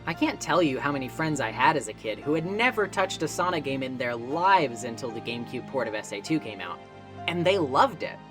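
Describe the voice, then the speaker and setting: A man's voice: medium pitch, animated, fairly quick. A man delivering scripted video essay narration in an emphatic, conversational and wry manner. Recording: music playing behind the voice. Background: background music.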